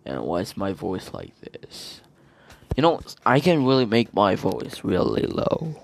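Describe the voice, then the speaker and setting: A man's voice: fairly low pitch, huge pitch swings, a little slow, gravelly. A man hosting his own podcast, chatting playfully and jumping between random topics. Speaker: podcast host. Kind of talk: rambling solo podcast chat.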